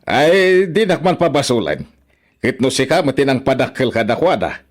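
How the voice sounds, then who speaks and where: A man's voice: mid-range voice, big pitch swings, moderate pace, natural voice. Grumbling, defensive Ilocano father played by an actor. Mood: defensive blaming. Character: defensive, grumbling father blaming his wife. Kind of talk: Ilocano radio drama acting.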